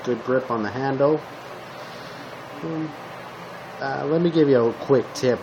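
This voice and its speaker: A man improvising a casual roleplay monologue as a calm zombie apocalypse survivor, talking to the listener in short, hesitant fragments. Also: medium pitch, a little slow, dull audio, background noise. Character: calm male zombie apocalypse survivor giving weapon tips. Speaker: roleplay video creator playing a zombie survivor.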